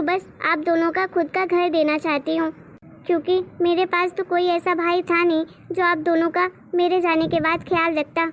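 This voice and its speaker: A woman's voice: extremely high pitch, muffled recording, a little hiss or hum. A woman voicing a determined, devoted young daughter in a cartoon moral story, speaking earnestly and explaining herself.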